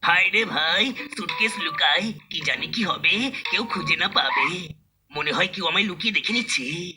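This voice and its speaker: A man voicing a brash, boastful and excitable big-brother cat in a comic cartoon dub, with a dramatic, blustering manner. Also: high voice, normal speed, background noise, natural voice. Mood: anxious. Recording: loud music under the voice.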